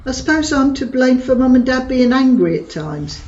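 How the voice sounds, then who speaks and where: A mocking, sharp-tongued imaginary best friend, played by a woman with a mellow, dark tone. She sounds set back from the mic in a roomy space, on a dull lo-fi recording. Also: sits high, lively intonation, normal speed, distant mic. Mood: rueful. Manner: blunt, teasing, sarcastic. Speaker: actor. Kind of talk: audio drama acting.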